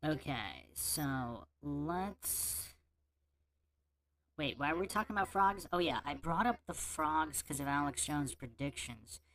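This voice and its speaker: A man's voice: on the high side, animated, bright, forceful tone. A man hosting a casual livestream Q&A, talking through audio troubles and restarting words. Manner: unhurried, restarting words while fixing audio settings. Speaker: livestream host.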